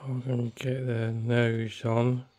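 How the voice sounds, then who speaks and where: A man giving a casual unscripted demonstration, muttering to himself in short, halting remarks. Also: medium pitch, completely flat delivery, a little mellow. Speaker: amateur video maker showing an old TV. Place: indoor room with a TV playing. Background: television audio.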